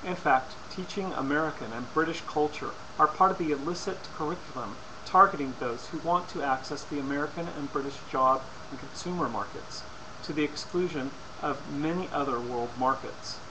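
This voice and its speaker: A man reading a scripted persuasive lecture in a formal, deliberate way, occasionally stumbling over words. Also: fairly high pitch. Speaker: presenter. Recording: clearly noisy amateur recording.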